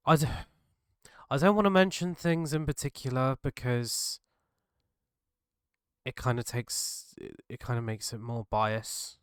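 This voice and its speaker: A British man hosting his own podcast, talking earnestly and haltingly, his voice gravelly from a cold. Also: medium pitch, no room sound, studio quality. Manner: earnest, halting, restarts mid-thought. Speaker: podcast host. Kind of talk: solo reflective podcast monologue.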